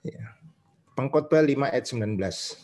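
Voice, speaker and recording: A male preacher with an Indonesian accent and a medium-pitched voice delivers a teaching sermon. He moves between reading verses and explaining them, building each point in short, measured phrases. He often adds small confirming tags as he goes. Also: expressive, a little mellow.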